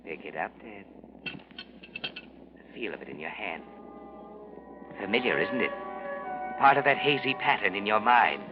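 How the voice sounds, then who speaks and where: A muffled vintage radio recording with music behind carries a low-voiced ominous male narrator. He speaks in a measured, insinuating way, like a guilty conscience taunting its owner. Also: expressive. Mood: taunting, sinister. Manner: measured, insinuating, addressing the character as you. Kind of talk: 1940s radio suspense narration.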